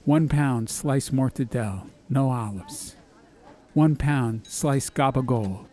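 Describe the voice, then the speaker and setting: A man's voice: mid-range voice, takes it slowly, dark tone. A man narrating a scripted story as an audiobook, with ambient noise behind his voice. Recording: background ambience noise under voice.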